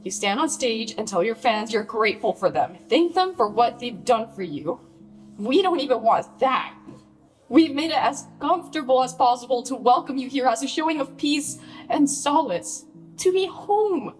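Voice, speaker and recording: Actress as a high-voiced, hurt, furious bride. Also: female voice, expressive, moderate pace, soft background music, lightly acted. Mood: wounded, bitter. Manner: scolding, emphatic, profane, pleading. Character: hurt, furious bride confronting her famous sister. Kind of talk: naturalistic radio play acting.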